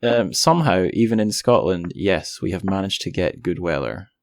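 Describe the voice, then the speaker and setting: A man's voice: sits low, animated, moderate pace, dry recording. A man hosting a podcast interview in a casual, informal, slightly rambling and self-deprecating way. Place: indoors by a window.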